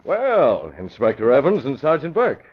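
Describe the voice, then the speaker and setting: An actor playing a composed, resolute crime-fighter, brisk and clipped, in 1940s radio style. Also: male voice, mid-range voice, wildly swooping pitch. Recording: dull, noisy old radio recording.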